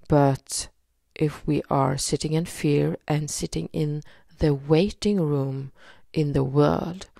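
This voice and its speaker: A woman from Norway with a Norwegian accent, leading a guided energy healing session in a slow, soothing way with many pauses and fillers. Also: sits low, creaky, warm tone, dry recording, clean studio sound. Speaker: energy healer.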